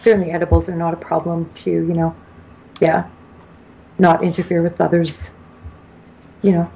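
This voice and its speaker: A woman co-hosting a casual podcast chat, teasing and quick to laugh. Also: on the low side, level tone, gravelly, narrow, tinny audio. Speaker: podcast co-host.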